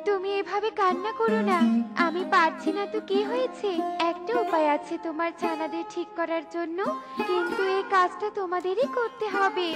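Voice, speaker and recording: Woman voicing a very high-pitched expressive garden fairy. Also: normal speed, loud music behind the voice. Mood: apologetic, helpful. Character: possessive garden fairy, stern yet soft-hearted. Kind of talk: children's cartoon dialogue.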